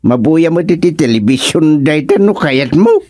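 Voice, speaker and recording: An actor playing an easygoing but grumbling village man in a radio comedy drama, with an Ilocano accent and drawn-out exclamations. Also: fairly high pitch, lively intonation, darkish tone, no echo, studio quality. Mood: chatty, explaining.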